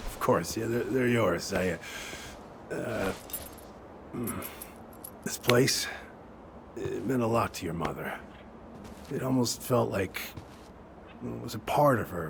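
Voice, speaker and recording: An actor playing a kindly, awkward older man in a video game, halting and rambling as he speaks. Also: fairly low pitch, gravelly, a little hiss or hum, exaggerated voice. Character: kindly, awkward, wistful older family friend named Sam. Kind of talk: naturalistic video game acting.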